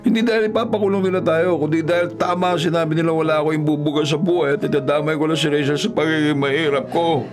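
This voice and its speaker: A man's voice: fairly high pitch, steady tone, quick pace, few pauses, music in the background, close to an everyday voice. A man playing a poor, heartbroken young gardener in a Filipino radio drama, humble, earnest and pleading. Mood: heartbroken, apologetic. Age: young adult.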